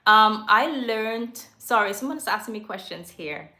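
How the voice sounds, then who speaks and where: A woman's voice: mid-range voice, expressive, measured pace, pushed and bright, roomy sound. A woman hosting a casual, unscripted livestream chat, talking candidly and rambling with frequent self-corrections. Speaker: livestream host. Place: home studio.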